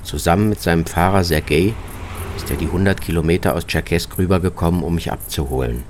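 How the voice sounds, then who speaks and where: A man's voice: deep voice, a little fast, darkish tone, slight background noise. A man narrating a scripted first-person travel report, as a reporter, with music behind his voice. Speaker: reporter narrating own radio travel feature.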